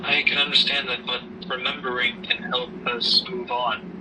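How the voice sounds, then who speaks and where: A man playing a grieving widowed father who gently counsels his daughter in a halting, measured way in an amateur audio drama. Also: flat delivery, natural voice. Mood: gentle, somber. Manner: halting, subdued, measured delivery. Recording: noisy rumbling background, distant mic.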